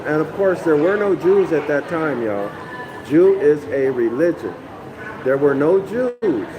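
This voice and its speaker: A man's voice: on the high side, background noise. A man from North America reading a text aloud and lecturing on it for a live online audience, often stopping to check in with listeners and repeating phrases as he explains. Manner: keeps checking in with listeners, "okay?" and "y'all".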